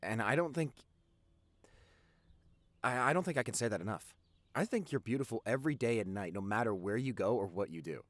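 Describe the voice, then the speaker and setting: Guy acting as a sweet teasing young boyfriend, rambly and stammery, super animated pitch, dry close sound, audio rp. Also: dry recording. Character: doting, teasing young popular boyfriend waking up. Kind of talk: intimate boyfriend audio roleplay.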